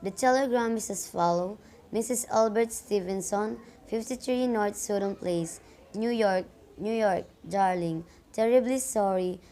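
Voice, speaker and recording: A woman's voice: mid-range voice, measured pace, warm tone, natural voice. A woman playing a courteous, impersonal telegraph clerk in a radio play, formal and detached.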